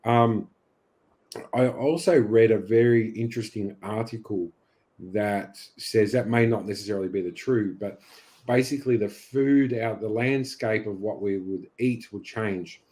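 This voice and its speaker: A man's voice: on the low side, a little slow, darkish tone. An Australian man talking as a guest on a video-call interview, enthusiastic and chatty, often restarting his sentences. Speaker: guest beekeeper. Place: unheated home studio.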